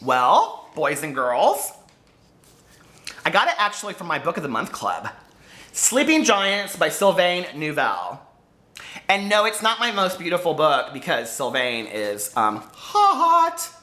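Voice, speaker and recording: Animated bright-voiced man, gushing and chatty. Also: on the high side, measured pace. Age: 40s. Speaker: book vlogger. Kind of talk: casual chat to viewers, book tag video.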